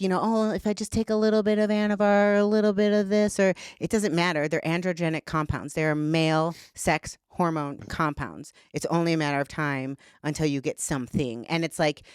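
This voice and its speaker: Blunt, smooth-voiced woman, candid and profane. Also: on the low side, steady tone, moderate pace, no room sound, studio quality. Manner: blunt, profane, talks with lots of "like". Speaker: podcast guest. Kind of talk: candid casual conversation.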